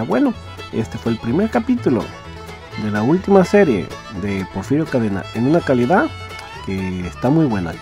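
A man hosting a podcast, giving a casual sign-off to listeners. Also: medium pitch. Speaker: podcast host. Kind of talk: casual episode outro. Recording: music playing behind voice.

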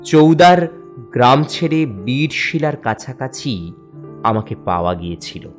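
A man narrating a first-person horror story for a cartoon in a measured storytelling manner. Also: mid-range voice, sing-song. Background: faint background music.

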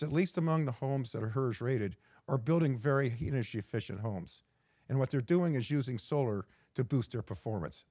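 A middle-aged man giving a prepared keynote as an executive director, measured and reading from slides with occasional restarts. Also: mid-range voice, a little mellow, dry recording, clean studio sound. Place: indoor studio in front of a backdrop.

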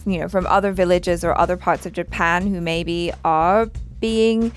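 A woman's voice: fairly low pitch, fairly even intonation, moderate pace, soft background music. A young woman chatting casually as co-host of a reaction show, on a headset mic. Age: young adult. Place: small indoor studio.

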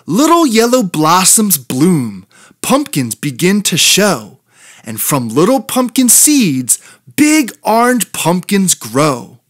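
A children's read-aloud narrator with a very animated, rising and falling pitch and an unhurried pace. The delivery is deliberate and rhythmic, with a sing-song lilt. The voice is recorded dry and close. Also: no room sound. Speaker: children's entertainer and story reader.

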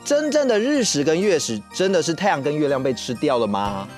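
A young man with a Taiwanese accent telling stories to children as a show host, expressive and often asking questions. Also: a little high, fairly quick, faint music behind. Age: young adult. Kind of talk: storytelling for children. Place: TV studio set.

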